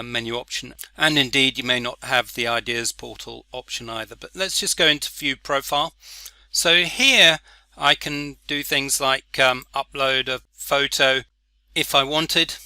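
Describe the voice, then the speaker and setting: A man casually walking through a software interface, with frequent "uh" and "um" fillers. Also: mid-range voice, clear, resonant tone, dry recording. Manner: relaxed, many "uh" and "um" fillers. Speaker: software demonstrator. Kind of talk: screen-recorded software walkthrough.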